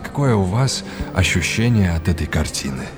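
A man's voice: low-pitched, big pitch swings, moderate pace. An actor playing a courteous, erudite male art historian in an audio drama, explaining and quizzing like a teacher. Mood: engaged, explanatory.

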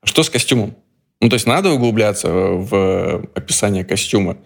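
A man co-hosting a podcast, relaxed and asking questions in a casual chat. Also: on the low side, fairly quick, creaky. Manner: relaxed, posing questions to the guest. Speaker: podcast co-host, screenwriter.

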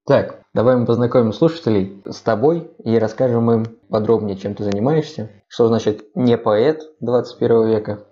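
A man hosting a podcast and asking questions in a relaxed, friendly way. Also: medium pitch, moderate pace. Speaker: podcast host. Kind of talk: casual conversational interviewing. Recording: dull lo-fi sound.

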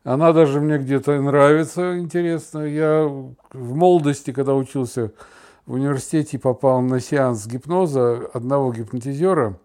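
A man's voice: mid-range voice, normal speed, darkish tone. A middle-aged or older man co-hosting a podcast, chatting casually and telling anecdotes in a wry, joking way. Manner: wry, mock-plaintive joking. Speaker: podcast co-host.

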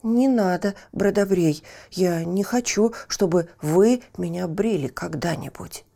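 A woman's voice: on the low side, a little slow, gravelly, mellow. A woman voicing an honest, stubborn orphan boy in a children's fairy tale, plain-spoken and defiant. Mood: firm, defiant.

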